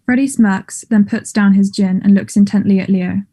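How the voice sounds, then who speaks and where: A woman's voice: medium pitch, steady tone, moderate pace, warm tone, breathy edge. A woman reading stage directions as scripted narration for a play, in a matter-of-fact manner.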